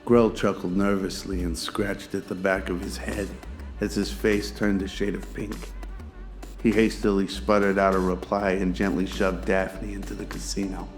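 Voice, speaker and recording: A man's voice: low voice, little pitch movement, normal speed, faint music behind. A man narrating a scripted audio drama.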